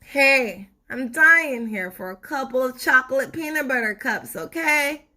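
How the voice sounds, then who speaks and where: A woman voicing a loud, nagging, candy-crazed tooth in a storybook, whiny, bossy and impatient. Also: high-pitched, expressive, a little slow, pitched above the performer's own voice, exaggerated voice. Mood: pushy, craving.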